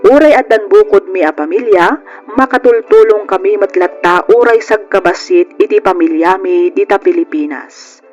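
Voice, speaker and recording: A male actor playing an earnest married man narrating his life in a letter for old radio drama, measured and heartfelt. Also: high-pitched, a little mellow, lo-fi sound, soft background music, natural voice.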